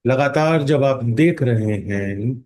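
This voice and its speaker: Very slow, mellow male meditation guide. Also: medium pitch. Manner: calm, unhurried, instructing with long pauses and drawn-out words. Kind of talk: guided meditation in Hindi mixed with English phrases.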